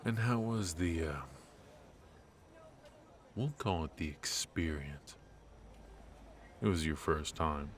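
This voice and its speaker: Man acting as a deep, unhurried, ruthless mafia boss. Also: slight background noise, full character voice. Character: ruthless, teasing adult male mafia boss, cocky and protective. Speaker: voice actor. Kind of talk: noir ASMR roleplay acting.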